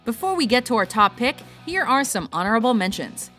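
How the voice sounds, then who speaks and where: Lively high-voiced woman narrator. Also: a little fast, soft background music. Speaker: countdown video narrator. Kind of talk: scripted narration.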